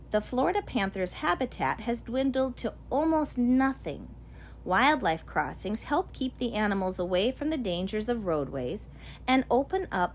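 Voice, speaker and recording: A woman reading a nonfiction children's picture book aloud in an expressive, deliberate storytelling way. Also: on the high side, a little hiss or hum. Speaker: audiobook reader.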